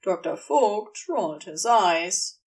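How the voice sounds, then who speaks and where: A woman's voice: medium pitch, sing-song, slow, darkish tone, distant mic. A woman reading fiction aloud as a scripted story narrator. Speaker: audiobook narrator.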